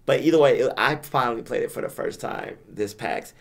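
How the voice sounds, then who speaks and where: A hoarse young man hosts a casual podcast, his voice raspy and full of gravelly fry after losing it. He keeps the chat easygoing and pokes fun at himself. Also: mid-range voice, fairly quick. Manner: laid-back, self-deprecating banter. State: hoarse, lost voice. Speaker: podcast host.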